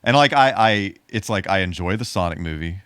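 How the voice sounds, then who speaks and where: A man's voice: sits low, animated, a little fast, fairly bright tone, clear, resonant tone, no echo. A man co-hosting a podcast in casual conversation, thinking aloud tentatively with false starts. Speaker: podcast co-host.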